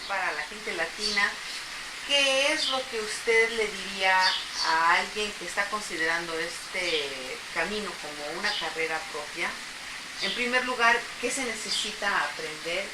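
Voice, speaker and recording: A woman's voice: medium pitch, unhurried. Woman interviewer in a noisy echoey room. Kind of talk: interview questions.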